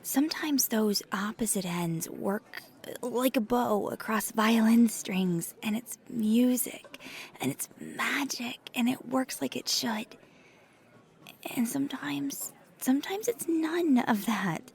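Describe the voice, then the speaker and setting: A woman playing a nervous, earnest paranormal investigator in an ASMR audio roleplay, speaking softly and haltingly with thoughtful, breathy hesitations. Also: a little high, expressive, slight background noise, full character voice. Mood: reflective, earnest.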